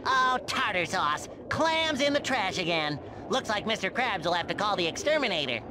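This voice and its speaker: Cartoon sea sponge, high-pitched, very animated, childlike, cheerful, exclamatory, game dialogue. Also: male voice, moderate pace, music in the background, rough recording. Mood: earnest, cheerful. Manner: exclamatory, earnest, over-polite.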